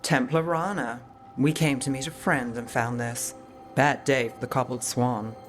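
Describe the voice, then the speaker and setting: A woman's voice: a bass voice, steady tone, a little slow. An actress playing a dry, world-weary woman detective in a video game, wry and understated, with music behind her. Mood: dry, focused.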